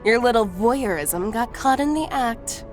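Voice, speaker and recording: A woman's voice: a little high, animated, measured pace, over music. An actress voicing a brash, taunting woman in a video game cutscene. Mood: gloating.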